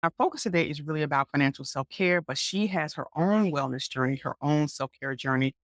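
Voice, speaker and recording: A woman from Georgia hosting an interview podcast, animated and encouraging in casual chat. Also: low voice, brisk, dry recording, studio quality. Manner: animated, encouraging, lots of affirming backchannels. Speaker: podcast host.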